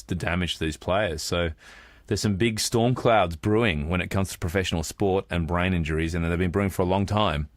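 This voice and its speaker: Deep-voiced Australian man, podcast co-host. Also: no room sound, clean studio sound. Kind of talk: casual podcast chat.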